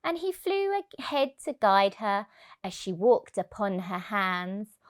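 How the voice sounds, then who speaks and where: A woman's voice: mid-range voice, big pitch swings, slow pace. A woman reading a rhyming picture book aloud expressively for young children.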